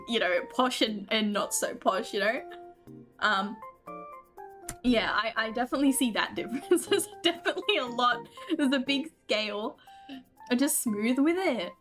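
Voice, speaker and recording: High-voiced, giggly Aussie woman streamer. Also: expressive, normal speed, a bit bright, faint music behind. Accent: Australian. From Australia. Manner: chatty and giggly, laughs often. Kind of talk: casual chat with viewers while gaming.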